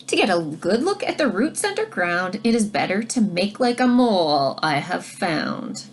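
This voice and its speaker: A woman teacher reading a picture book aloud to children, pausing to explain things in simple, child-directed language. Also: medium pitch, a little hiss or hum. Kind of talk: read-aloud lesson with explanatory asides.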